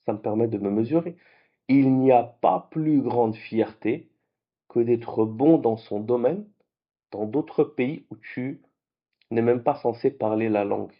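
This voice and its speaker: A man whose first languages are French and Arabic, hosting a late-night trading vlog in a lively, conversational way. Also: mid-range voice, unhurried, dull audio. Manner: animated, conversational, with restarts. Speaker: trading channel host.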